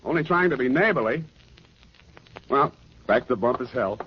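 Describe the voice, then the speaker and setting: A wry, hard-boiled American private eye, played by a male actor in a 1940s radio drama. He is easygoing and deadpan, with an average-pitched voice. The old recording is dull and muffled, with music in it. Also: moderate pace, natural voice. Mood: wry narration. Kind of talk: 1940s radio detective drama acting and narration.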